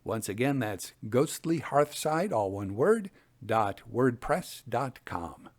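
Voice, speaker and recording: A man reading Victorian ghost tales as a storytelling host, in a measured, deliberate and expressive way. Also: medium pitch. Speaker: host and reader of ghost stories.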